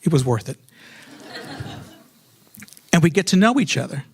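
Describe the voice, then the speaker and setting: A man's voice: fairly high pitch, big pitch swings, normal speed, husky. A middle-aged American man telling a personal story in a comedic, self-mocking way, animatedly acting out remembered dialogue.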